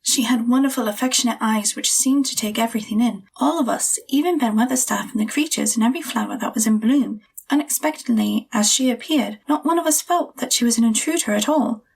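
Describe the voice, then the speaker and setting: A young woman narrating a children's story in a calm, measured storytelling manner. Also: a little high, vocal fry, breathy edge. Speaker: narrator and young girl character.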